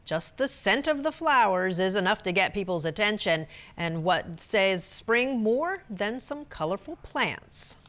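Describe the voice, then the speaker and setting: A woman's voice: mid-range voice, lively intonation, measured pace, few pauses, a bit bright. A woman reporter reading scripted narration for local news stories.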